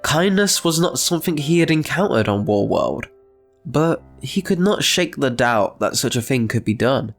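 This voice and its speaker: Male narrator, medium register, scripted dramatic storytelling, soft music bed. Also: mid-range voice, lively intonation, normal speed.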